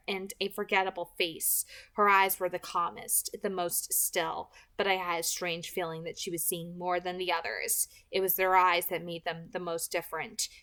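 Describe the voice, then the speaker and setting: A woman's voice: fairly low pitch, fairly bright tone. A woman reading a novel aloud as host, in the style of an amateur audiobook.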